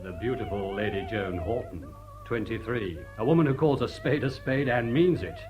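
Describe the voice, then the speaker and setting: Man playing a dryly witty English butler, animated. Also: medium pitch, normal speed, music in the background, lightly acted. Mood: dry, gossipy. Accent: upper-class English. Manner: formal, dry, deadpan wit. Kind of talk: British radio drama acting.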